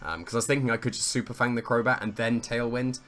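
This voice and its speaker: Slightly croaky man thinking aloud. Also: medium pitch, level tone, fast, fairly bright tone. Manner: thinking aloud, weighing options, amused at his own plays. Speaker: competitive player commentating his own match. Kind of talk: casual play-by-play commentary.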